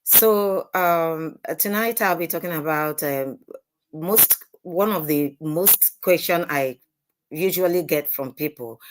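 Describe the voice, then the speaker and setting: A woman speaking on an online panel, measured and a little hesitant, with fillers. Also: deep voice, a little hiss or hum. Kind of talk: live online panel talk.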